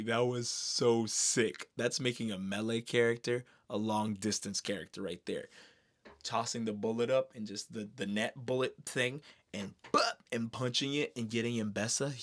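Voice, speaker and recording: A man giving casual, excited fan commentary in a slangy, expressive way, throwing in exclamations. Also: mid-range voice. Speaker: streamer reviewing an animated series.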